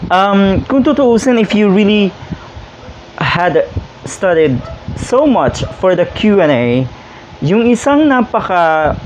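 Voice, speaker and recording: A man's voice: fairly high pitch, a little mellow. A man with a Filipino accent giving chatty, opinionated video commentary, throwing out emphatic rhetorical questions as host. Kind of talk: informal video commentary, mixing Tagalog and English. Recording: dull lo-fi sound, faint background noise.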